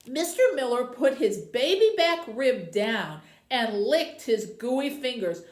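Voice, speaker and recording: A woman with a high voice works as a library host for young viewers. Her pitch moves up and down in an expressive storytelling style. The room adds noticeable echo around her words. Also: takes it slowly. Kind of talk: reading a children's novel aloud, then addressing young viewers. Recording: roomy, echoey indoor sound.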